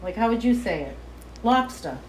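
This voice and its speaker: A woman with a light Boston accent hosting a live cooking demo from her kitchen, chatty and excitable as she talks to viewers. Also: a little high, normal speed, a little hiss or hum, poor audio. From Boston area. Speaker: cooking show host. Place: home kitchen, indoors.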